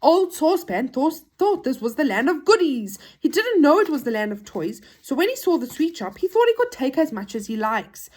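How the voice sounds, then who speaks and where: A woman's voice: high-pitched, big pitch swings, normal speed, few pauses, a little mellow, voice pushed up. A woman playing a bossy, practical male moon-faced friend in a children's storybook, urgent and explaining.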